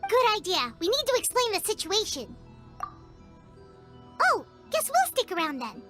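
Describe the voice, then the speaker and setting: Squeaky, very high little fairy companion. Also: female voice, huge pitch swings, unhurried, a bit bright, soft background music, exaggerated voice. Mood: chirpy. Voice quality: squeaky, childlike. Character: small childlike fairy companion, chirpy and candid. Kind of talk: video game voice acting.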